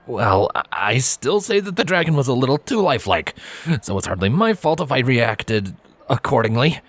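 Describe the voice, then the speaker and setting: A man's voice: mid-range voice, very animated, normal speed, no room sound, pitched above the performer's own voice. A man voicing a bashful, imaginative young male pony in an audio story, sheepish and mildly defensive. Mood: embarrassed, huffy. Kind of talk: fan fiction audio story character acting.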